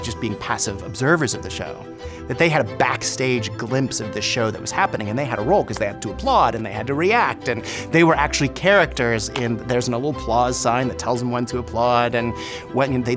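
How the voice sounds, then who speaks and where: A man speaking reflectively in an interview as a theater director, thoughtful and hesitant. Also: medium pitch.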